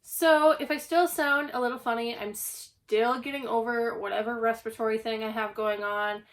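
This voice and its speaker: A young woman with a slightly hoarse voice, recovering from a cough, chatting casually at home about a stack of books. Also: fairly high pitch, steady tone, moderate pace. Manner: chatty, self-deprecating, rambling. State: hoarse, getting over a cough. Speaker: book channel host. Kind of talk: casual book haul vlog. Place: at home, indoors.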